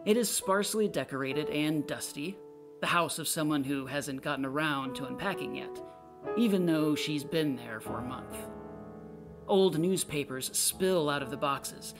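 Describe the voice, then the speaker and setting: A woman reading a play's character notes and stage directions as scripted narration. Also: deep voice.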